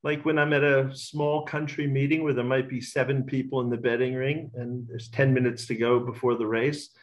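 A man's voice: medium pitch, level tone, moderate pace, dull audio. A middle-aged man, talkative and self-assured, giving casual interview answers as a racecourse bookmaker. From Australia.

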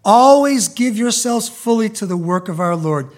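A man whose first language is Italian, preaching a sermon as pastor: he reads scripture emphatically, prays softly, and speaks in a church building. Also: sits high, animated. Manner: slow, emphatic reading building to loud proclamation; quiet, soft prayer voice. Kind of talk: sermon with scripture reading and prayer.

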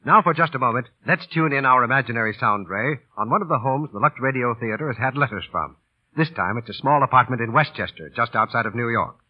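A man with a husky voice announcing and narrating a scripted advert in 1940s radio style. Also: mid-range voice, fast talker. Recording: dull vintage radio broadcast recording.

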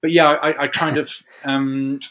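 Middle-aged guy from the uk, bright forceful voice, keeps restarting his sentences. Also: a little high, level tone, unhurried. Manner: rambling, many restarts and self-corrections. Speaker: guest, games magazine editor. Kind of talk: casual interview chat.

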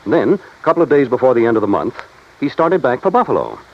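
An actor playing a matter-of-fact insurance man who explains things briskly in an old-time radio drama. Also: mid-range voice, very animated. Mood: businesslike. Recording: dull vintage radio drama recording.

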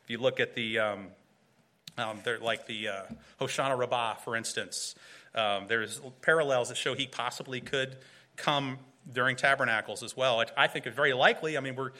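A man's voice: mid-range voice, moderate pace, pushed and bright. A man preaching a sermon to his congregation, explaining earnestly and asking rhetorical questions. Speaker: pastor.

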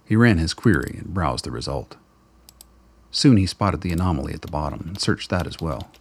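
A male narrator telling a radio drama steadily in close third person. His voice is deep and husky. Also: expressive, fairly quick. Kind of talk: scripted narration.

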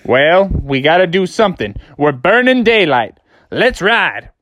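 A man voicing a big, steady Old West sheriff with a folksy, commanding cowboy drawl in a children's story. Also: high-pitched, a little slow, a little hiss or hum, pitched above the performer's own voice, exaggerated voice. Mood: stern.

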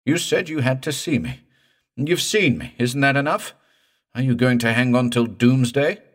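Male narrator as terrified man, frantic, raw, pleading, voice driven high, horror storybook. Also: expressive, normal speed, close to an everyday voice. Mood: desperate. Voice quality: raw, thick, breaking upward.

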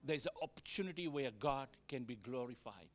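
A man with a Fijian accent preaching a sermon, calling out amens to the congregation, mixing joking asides with exhortation and swinging from loud to quiet. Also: mid-range voice, animated, a little fast, slight background noise. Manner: exhorting, with frequent amens and call-outs to the congregation.

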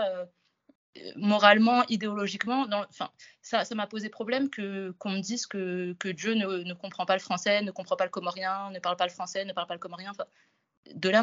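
A woman's voice: medium pitch, a bit bright, highs cut off. A woman in her twenties from France, a guest telling her story in a casual interview. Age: 20s.

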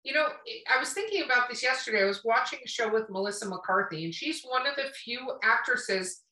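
A woman podcast host chats casually with blunt opinions and plenty of swearing. Her voice is on the high side and very bright. It sounds roomy, with clear echo around it. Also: lively intonation, brisk. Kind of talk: casual chat. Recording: roomy echo, distant from mic.

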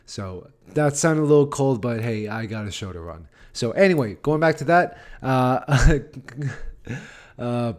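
A man's voice: mid-range voice, lively intonation, a little slow. An American man hosting a podcast solo, chatting in an informal, rambling and self-deprecating way. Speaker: podcast host and stand-up comedian. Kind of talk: casual solo podcast chat.

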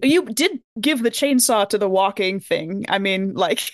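A woman's voice: mid-range voice, lively intonation, unhurried, no room sound, studio quality. A woman playing a tabletop game, bantering casually with a playful, teasing manner.

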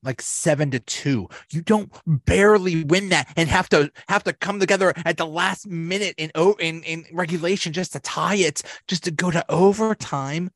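A man hosting a sports podcast in casual chat, reacting with drawn-out exclamations. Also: fairly high pitch, no room sound, clean studio sound. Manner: slow, lively intonation, reacts with drawn-out exclamations. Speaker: podcast host.

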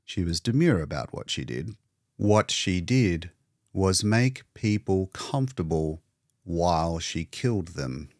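A man narrating a short story as an audiobook reader, in an expressive scripted style. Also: low voice, unhurried, no room sound, clean studio sound.

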